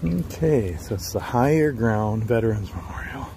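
A man's voice: medium pitch, animated, moderate pace, dark tone. A middle-aged man, chatting casually and folksily in a rambling outdoor vlog. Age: middle-aged, 50s. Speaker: vlogger, truck driver. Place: outdoors at a highway rest area. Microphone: handheld phone or camera at arm's length. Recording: outdoor handheld recording with clear background noise.

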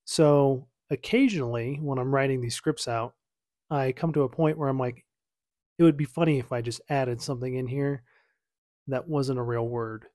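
A relaxed man in his thirties talking from his lamp-lit home office. He wanders and corrects himself in an easygoing, chatty way. The sound is dry and close to the mic. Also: medium pitch, moderate pace, no echo. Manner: rambling, self-correcting, easygoing. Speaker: YouTuber reacting to his own video. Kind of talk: casual reaction commentary.

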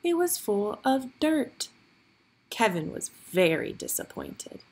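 A woman reading a picture book aloud for young children, with expressive, deliberate storytelling phrasing. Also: a little high, husky.

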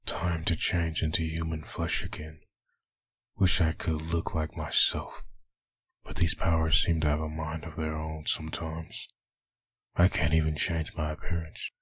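Very deep, raspy undead vigilante. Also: male voice, monotone, fairly bright tone, no echo, heavily acted. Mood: brooding. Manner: slow, grim delivery. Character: brooding undead vigilante antihero, menacing and haunted. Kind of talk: comic dub voice acting.

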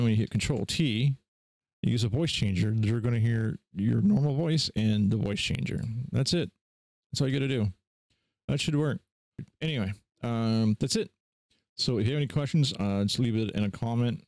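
Low-voiced man giving a casual tutorial. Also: darkish tone, dry recording. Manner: informal, offhand. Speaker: tutorial host. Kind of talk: casual screen-share software tutorial.

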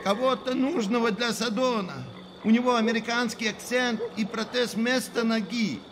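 Очень высокий, срывающийся голос перепуганного пособника, которого допрашивают. Он запинается, увиливает и нервно оправдывается. Запись игровая, за голосом отчётливо слышен шумный фон. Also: male voice, very high-pitched, steady tone, normal speed. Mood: scared, evasive. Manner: stammering, nervous, evasive. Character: frightened male henchman under interrogation. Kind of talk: Russian video game dub. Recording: game audio with noisy backdrop.

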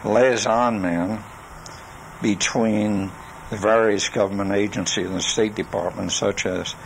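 Slow, gravelly older man. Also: on the low side, steady tone. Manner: deliberate, halting reminiscence with long pauses. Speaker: storyteller recalling his life and legal practice. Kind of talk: spoken memoir on tape. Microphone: cassette recorder. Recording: noisy 1980s home cassette recording.

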